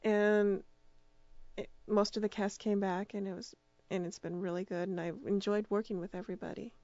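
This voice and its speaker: A woman's voice: medium pitch, level tone, normal speed, mellow, no echo, lo-fi sound. A woman speaking as a radio drama producer and director, giving casual answers in an interview.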